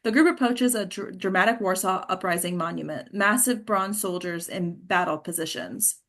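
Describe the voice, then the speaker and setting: Woman reading screenplay directions, medium pitch. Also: moderate pace, a bit bright. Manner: stumbles over words. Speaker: stage directions reader. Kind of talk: scripted narration at a table read.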